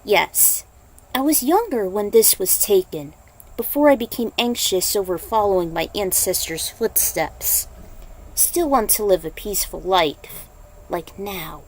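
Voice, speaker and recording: A woman voicing a gentle, reassuring young male warrior monk in an amateur story reading. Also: mid-range voice, lively intonation, measured pace, rough recording. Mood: soft, reflective.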